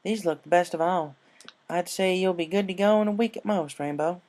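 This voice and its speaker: Man as a firm, folksy farm mare, raised pitch. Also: fairly even intonation, brisk, darkish tone, close to an everyday voice. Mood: caring, upbeat. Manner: folksy, firm, plain-spoken. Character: stern, caring farm mare, female adult pony.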